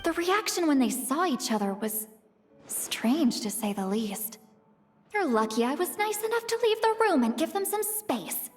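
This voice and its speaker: A woman's voice: sits high, big pitch swings, moderate pace, soft background music. A woman voicing a proud, impatient self-styled granny shaman with a girlish, indignant, dramatic delivery in a video game.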